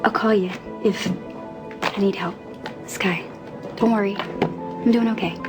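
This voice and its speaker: A woman's voice: medium pitch, fairly even intonation, unhurried, over music, lightly acted. A woman playing a caring, stubbornly stoic young medical student in a soap opera. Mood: strained, evasive. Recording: loud background noise, clicks.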